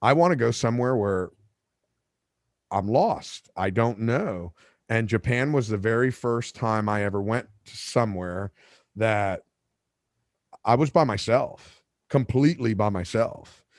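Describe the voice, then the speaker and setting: A man's voice: lowish voice, measured pace, long pauses, no room sound, studio quality. A man whose first language is Spanish, hosting a podcast and telling a long story in a casual, rambling way with lots of asides and restarts. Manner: rambling, self-correcting, with asides. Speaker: podcast host.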